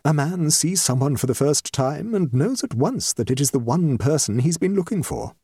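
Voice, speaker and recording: A man voicing a shrewd, tactful society lady with a measured, dry and precise manner in a comic story. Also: fairly high pitch, lively intonation, mellow, breathy edge, no echo, voice pushed up. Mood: soothing. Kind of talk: comic short story reading.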